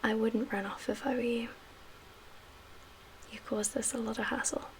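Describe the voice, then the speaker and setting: A woman playing a calm survivor camp leader in a soft-spoken ASMR roleplay, with a breathy, whispery voice and a gentle, measured, hushed manner. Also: a little high, close to an everyday voice, poor audio. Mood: calm, cautioning. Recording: clear background ambient noise.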